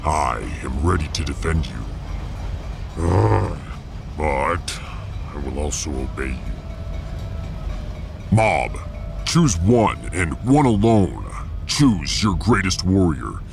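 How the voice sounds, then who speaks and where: A man playing a huge, proud, battle-loving warrior officer in a sci-fi audio drama, gravelly and pompously formal. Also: big pitch swings, takes it slowly, pauses often, music in the background, full character voice. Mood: proud, gruff. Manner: booming, pompous, formal declarations.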